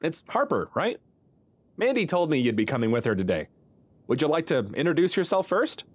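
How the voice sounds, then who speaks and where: A man's voice: fairly high pitch, sing-song, fairly quick. A man playing an upbeat, welcoming counselor who leads a support group in an audio drama, reassuring and encouraging. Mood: welcoming, cheery.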